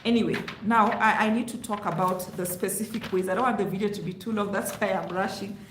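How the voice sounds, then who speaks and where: A woman's voice: medium pitch, fairly even intonation, fast. A woman whose first language is Luo, giving casual money advice in a chatty, explaining way. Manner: chatty, explains with rhetorical asides. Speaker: vlogger. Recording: roomy echo with faint hiss.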